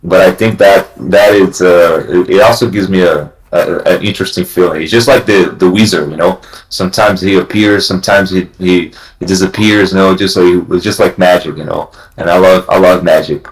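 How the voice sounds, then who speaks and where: Deep-voiced man, echoey room. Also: fairly even intonation. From Brazil. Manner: halting, many restarts and "you know" fillers. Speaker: blockchain company CEO guest. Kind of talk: casual livestream chat.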